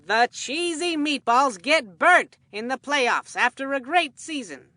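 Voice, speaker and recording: Casting: peppy cartoon baseball commentator for a video game, extremely high-pitched, punchy announcer delivery, dry studio sound. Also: female voice, expressive, slow pace, pushed and bright, no room sound, exaggerated voice. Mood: sympathetic announcing. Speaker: sports commentator. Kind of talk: scripted sports game commentary.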